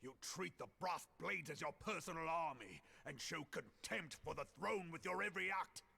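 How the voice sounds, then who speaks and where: Gruff general, gravelly bright forceful voice, giving orders in a game cutscene. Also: male voice, fairly high pitch, normal speed, exaggerated voice. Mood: rebuking. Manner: commanding, rebuking. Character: commanding male general, angry and forceful. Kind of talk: video game voice acting.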